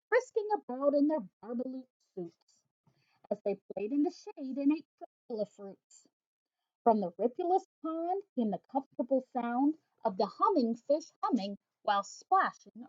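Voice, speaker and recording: A woman teacher reads a rhyming picture book aloud, her high voice rising and falling with lively expression. The recording has a slightly dull, lo-fi sound. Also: normal speed.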